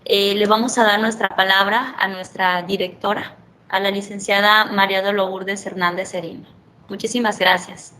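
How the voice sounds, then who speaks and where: A woman's voice: medium pitch, fairly even intonation, normal speed, sharp tone, rough recording. A woman moderating a school conference, thanking the speaker in a formal way with frequent tag questions. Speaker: event moderator.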